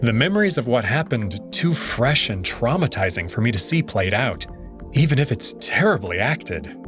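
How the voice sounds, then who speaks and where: A man hosting a let's play channel, reading a visual novel aloud with wry, expressive delivery. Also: medium pitch, normal speed, over music.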